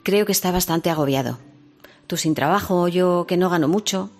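A woman's voice: lowish voice, normal speed, faint music behind. A woman playing a tender, caring, concerned mother in natural radio drama dialogue. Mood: worried. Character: tender, caring mother who worries about her son.

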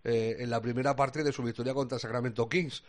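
A male basketball commentator with an accent from Spain and a mid-range voice. He talks casually and colloquially, halting often as he thinks aloud. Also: mid-range voice, steady tone. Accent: Spanish from Spain. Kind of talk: casual sports discussion.

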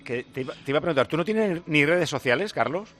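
A man's voice: a little high, lively intonation, fast talker, fairly bright tone, soft background music. A man with a Spanish accent from Spain hosting a radio show, chatting casually and interviewing in an informal, teasing way.

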